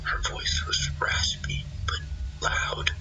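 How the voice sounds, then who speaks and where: A man playing a haunted fire lookout in a radio horror drama, recounting events in a halting, breathy, confessional monologue. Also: lightly acted. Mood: shaken, horrified. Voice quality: low, gravelly, breathy. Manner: halting, breathy, half-whispered. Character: haunted male fire lookout recounting his wife's eerie death.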